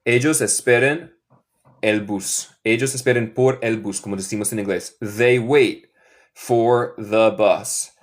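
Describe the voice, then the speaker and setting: Slow-speaking American man teaching English. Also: lowish voice. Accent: American, Midwestern. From northern United States. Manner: slow, repeats example sentences several times. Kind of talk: live online English lesson taught in Spanish.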